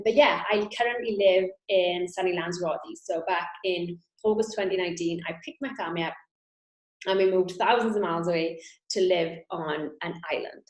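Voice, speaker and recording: Welsh woman in her thirties, upbeat sales coach, mid pitch, recorded in an echoey room. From Wales. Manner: upbeat, chatty, direct to camera. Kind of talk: online training talk.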